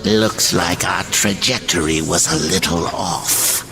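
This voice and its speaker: Sneering, slow-drawling demon spirit. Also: male voice, sits low, creaky, music in the background, full character voice, low-quality recording. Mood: mocking threat. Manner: mocking, menacing, drawn-out. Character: sneering, sadistic demonic watcher spirit, taunting minder. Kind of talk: video game cutscene acting. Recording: loud cinematic score behind.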